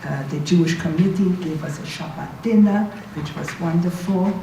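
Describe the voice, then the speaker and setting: A woman in her eighties with a German accent, recalling her past informally to an audience in a conversational, halting way with asides. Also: lowish voice, dark tone. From Berlin, Germany. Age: 80s. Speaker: Holocaust survivor giving a talk. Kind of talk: informal spoken recollection to an audience. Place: indoor university talk room, recorded from a distance. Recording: echoey room, distant mic, faint noise.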